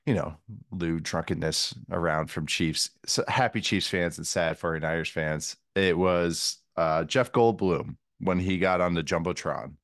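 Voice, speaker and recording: Deep-voiced man riffing on a podcast. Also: fairly even intonation, a little slow, a bit bright, dry recording, clean studio sound. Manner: joking, rambling with many fillers. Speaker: guest football analyst. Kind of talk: casual sports podcast chat.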